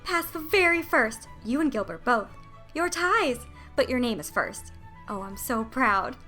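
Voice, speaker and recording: Woman playing a bright, breathless, gushing teenage girl. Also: high voice, lively intonation, unhurried, soft background music, full character voice. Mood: breathless elation. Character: excitable, gushing teenage girl, devoted best friend. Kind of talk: dramatised audiobook character acting.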